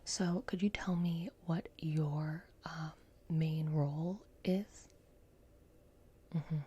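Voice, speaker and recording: A woman speaking in a soft, breathy whisper, gently guiding the listener through an ASMR roleplay as a repair technician. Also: low-pitched, fairly even intonation, slight background noise. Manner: gentle, reassuring, unhurried guidance. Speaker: ASMR performer playing a robot repair technician.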